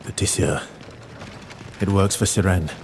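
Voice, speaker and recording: An actor playing a grave, pragmatic adventurer in a video game, speaking in terse, understated lines. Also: male voice, sits low, fairly even intonation, unhurried, creaky, a little mellow, a little hiss or hum. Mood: matter-of-fact. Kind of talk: dramatic game dialogue.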